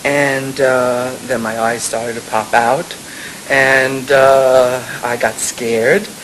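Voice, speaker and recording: An elderly woman in her eighties recounting childhood memories in a halting, repetitive way. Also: very deep voice, fairly even intonation, poor audio. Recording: faintly hissy interview recording.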